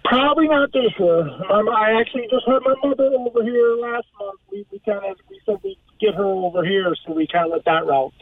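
High-voiced man on a tinny line. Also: fairly quick, hardly any pauses. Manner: relaxed, hesitant with fillers. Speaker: guest. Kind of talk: casual radio interview.

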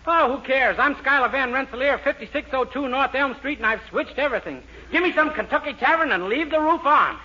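A man's voice: very high-pitched, fairly quick, hardly any pauses. An actor playing a naive young man who is hypnotized into a playboy, in a boyish 1940s radio comedy manner. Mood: brash, cocky. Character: naive young man turned brash skirt-chasing playboy under hypnosis. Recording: dull, very noisy old radio recording.

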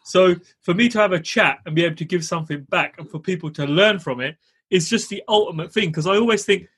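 Husky, earnest man hosting a podcast. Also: sits high, fast, fairly bright tone. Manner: candid, earnest, peppered with "you know" and "man". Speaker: podcast host. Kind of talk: casual interview chat.

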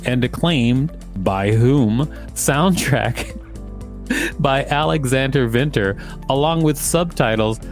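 A man co-hosting a podcast, reading an article aloud in a casual way and breaking in with sarcastic asides. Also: medium pitch. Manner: wry, interrupts the text with sarcastic asides.

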